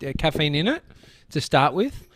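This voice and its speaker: A man's voice: a little high, sing-song, normal speed, a bit bright, no echo. An Australian man co-hosting a podcast, chatting casually in a blunt, matey way close to the microphone. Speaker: podcast co-host. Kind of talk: casual banter. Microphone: close studio podcast microphone.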